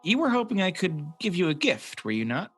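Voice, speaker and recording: An actor playing a proud, scheming angel of light in a radio play, speaking in a smooth, grandiose, theatrical way. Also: male voice, high voice, big pitch swings, a little fast, exaggerated voice. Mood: smooth, persuasive. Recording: radio play recorded over video call, music behind.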